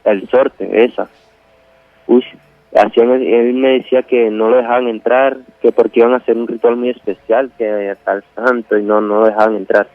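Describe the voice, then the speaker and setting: A man calling a radio show, telling a personal story in a halting, colloquial way. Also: medium pitch, fairly even intonation. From Venezuela. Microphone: telephone. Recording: dull, dry phone call to radio.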